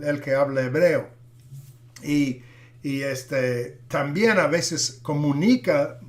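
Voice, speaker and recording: A middle-aged man, a pastor who is not a native Spanish speaker, teaching a Bible class with many pauses, fillers and restarts. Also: fairly high pitch.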